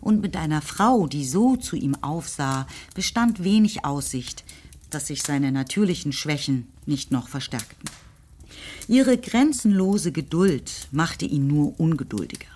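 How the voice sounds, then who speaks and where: A woman narrating a radio play in a calm, measured, lightly ironic way. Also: deep voice, a little hiss or hum. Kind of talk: scripted narration.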